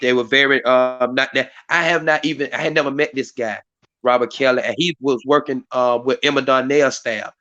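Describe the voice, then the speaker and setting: A hoarse man hosts a community live stream in a rambling way, full of fillers and restarts. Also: medium pitch, steady tone, normal speed, sharp tone, no echo, muffled recording. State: hoarse, voice partly lost. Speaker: community activist hosting a live stream meeting. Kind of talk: casual hosted talk. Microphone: live stream mic.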